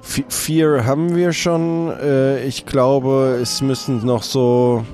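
A man's voice: medium pitch, level tone, takes it slowly, darkish tone, soft background music, lightly acted. A man playing a matter-of-fact pirate companion in a casual improvised audio role-play.

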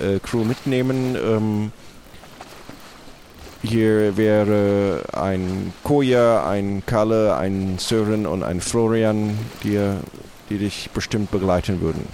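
Flustered acting pirate captain played by a man, low register, formal and halting, for improvised tabletop adventure. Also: darkish tone, a little hiss or hum. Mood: flustered, instructing. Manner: halting, hesitant, wordy.

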